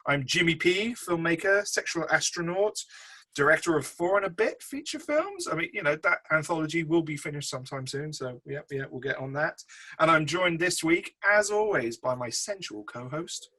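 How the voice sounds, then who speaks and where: A man's voice: on the high side, big pitch swings, moderate pace, sharp tone. A man around forty hosting a casual film review show in a chatty, jokey, informal way. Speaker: podcast host and filmmaker.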